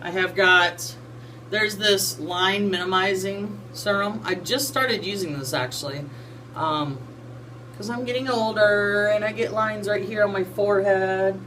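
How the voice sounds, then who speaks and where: A woman's voice: medium pitch, steady tone, moderate pace, a bit bright, a little hiss or hum, rough recording. Chatty woman in her forties, folksy. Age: 40s. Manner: chatty, folksy, self-interrupting with fillers. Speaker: skincare vlogger. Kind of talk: casual how-to chat. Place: small home bathroom.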